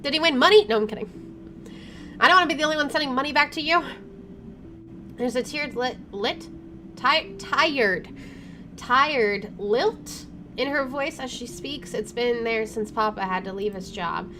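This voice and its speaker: A chatty, expressive woman reading a visual novel aloud as she plays, with casual jokey commentary. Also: high-pitched, moderate pace, background noise. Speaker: gamer doing a first playthrough.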